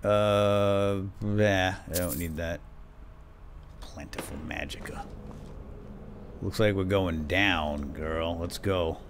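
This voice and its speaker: A man's voice: low voice, takes it slowly, pauses often, background noise. A man giving playful, lightly role-played let's play commentary, chatting to his in-game companion.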